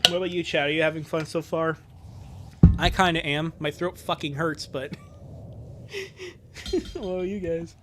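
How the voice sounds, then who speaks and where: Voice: mid-range voice, lively intonation, unhurried, pauses often, heavy background noise. A streamer in their twenties reading fan fiction aloud on a live stream, jokey and often breaking off into asides. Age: 20s. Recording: music behind the voice. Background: music.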